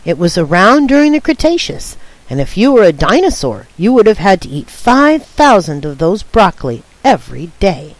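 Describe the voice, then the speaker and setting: Imaginative older sister for a storybook, played by a woman: persuasive tale-spinner, lively range, dry sound. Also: on the low side, moderate pace, dry recording, low-quality recording. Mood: coaxing, dramatic. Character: coaxing, imaginative big sister telling tall tales.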